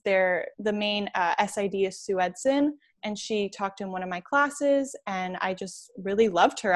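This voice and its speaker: A young woman from New York is the guest on a sports podcast, talking about her path with an upbeat, chatty energy. Her voice sits in an ordinary middle pitch, and her answers run long and eager, full of warm enthusiasm for her work. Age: 20s. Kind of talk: casual interview answers.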